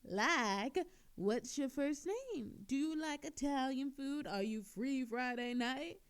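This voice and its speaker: Woman acting a high-voiced, flirty lady thief. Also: animated, slow, clear, resonant tone. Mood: coy, flirtatious. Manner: coy, sarcastic, theatrical teasing. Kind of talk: scripted audio roleplay acting.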